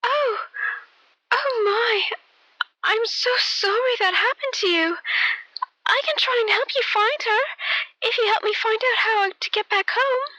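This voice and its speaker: A woman's voice: very high voice, a little slow, pauses often, sharp tone, dry recording, dull audio, voice pushed up, exaggerated voice. A woman voicing a naive, curious young woman in an amateur radio play, speaking in an earnest, emotive and hesitant way. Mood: sympathetic.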